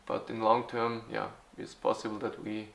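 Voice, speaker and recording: A man in his forties from Austria, answering interview questions haltingly, with many fillers and restarts. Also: lowish voice, monotone, vocal fry, a bit bright, roomy sound. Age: 40s. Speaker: youth football club organizer.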